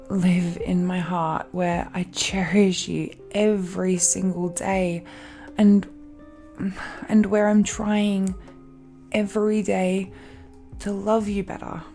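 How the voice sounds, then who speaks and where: A woman acts out a possessive, devoted dragon-girl lover in an intimate audio romance. She speaks very slowly and haltingly, in a mellow, medium-pitched voice full of feeling and sighs. Music plays behind her. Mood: tender, adoring. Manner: breathy sighs, halting, emotional delivery with murmurs and soft laughs. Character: possessive, adoring dragon-blooded fiancée, romantic and remorseful. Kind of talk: intimate romantic audio roleplay addressed to the listener.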